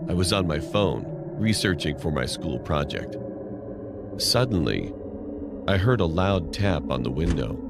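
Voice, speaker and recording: Low-voiced man narrating over loud music. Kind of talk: scripted first-person horror story narration. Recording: music playing loudly behind.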